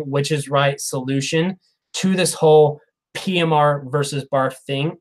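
A man's voice: mid-range voice, rough recording. A man in his thirties hosting a casual live Q&A stream, reading viewer comments in a chatty, upbeat way with frequent laughs, recorded on a lapel mic in a small room. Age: 30s. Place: small room, plain wall behind. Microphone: clip-on lapel mic.

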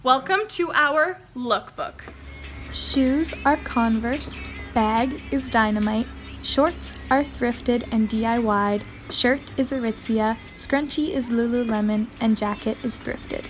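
High-pitched bubbly young woman vlogging. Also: takes it slowly, faint music behind. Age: young adult. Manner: bubbly, jokey, reads off outfits. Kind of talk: casual vlog chat. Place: apartment and car.

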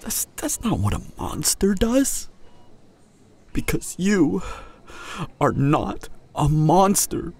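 Man playing a breathy, grieving hunter boyfriend, slow. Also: high-pitched, big pitch swings, a little mellow, exaggerated voice. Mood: tender, wistful. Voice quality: breathy, husky, often dropping to whispers. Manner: halting, emotional, long pauses. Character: tender, grieving monster-hunter boyfriend, young man, devoted. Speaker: audio roleplay voice actor. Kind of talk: emotional boyfriend audio roleplay, intimate monologue.

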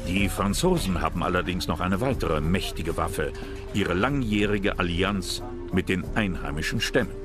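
Male narrator for history documentary, deep voice, scripted read over music.

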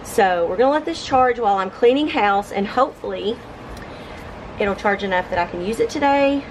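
A middle-aged woman, casually narrating a home vlog in an informal, halting, think-aloud way. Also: mid-range voice, animated, a little hiss or hum, low-quality recording. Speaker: home vlogger. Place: living room of a home, indoors. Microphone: camera mic on a tripod nearby.

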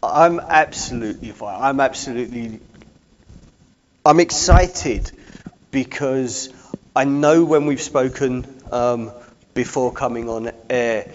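A man in his forties hosting a talk show from a studio desk on a lapel mic, with slow, emphatic presenter delivery. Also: medium pitch, dull audio, a little hiss or hum. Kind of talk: broadcast interview hosting.

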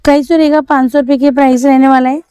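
A woman's voice: sits high, flat delivery, quick pace, dark tone, dry recording. A young woman vlogging at an outdoor market, chatting through prices and fabrics and asking shopkeepers. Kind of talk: walking market vlog showing clothes and prices. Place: busy outdoor street market.

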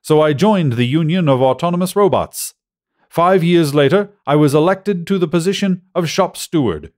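A man's voice: a little high, normal speed, a little mellow, voice pushed up, close to an everyday voice. A man voicing an aggrieved film-star robot with the fruity, theatrical manner of a stage actor, in a science fiction audiobook. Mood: aggrieved, proud.

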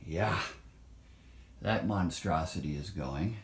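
A man's voice: deep voice, takes it slowly. A man giving a casual, offhand walkthrough as he films inside an empty trailer on his phone. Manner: offhand, chatty, thinking aloud. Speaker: vlogger filming a trailer renovation. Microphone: handheld phone camera. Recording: echoey bare room, faint hiss.

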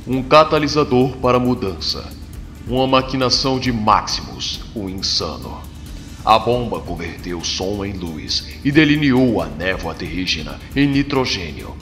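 Brazilian male narrator for a comic: low voice, animated pitch, reverberant. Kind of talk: dramatic scripted comic narration. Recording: long reverb, music behind. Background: music.

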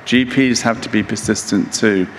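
A man in his sixties giving a conference keynote lecture in a measured, halting way, pausing mid-phrase. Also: fairly low pitch, fairly even intonation. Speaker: keynote speaker. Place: large conference hall stage, indoors. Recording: echoey hall with reverb, background noise.

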